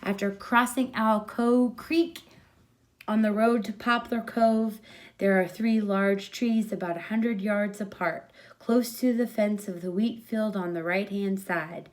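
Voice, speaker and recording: Lady reading a story out loud, mid pitched voice, storyteller narration. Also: fairly even intonation, unhurried.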